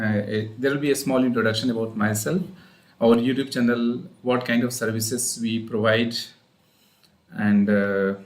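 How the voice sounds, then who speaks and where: A man's voice: mid-range voice, slight background noise. Indian man hosting a live stream, roomy echo. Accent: Indian English. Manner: hesitant, many fillers and restarts. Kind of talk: live online session, explaining. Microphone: online call.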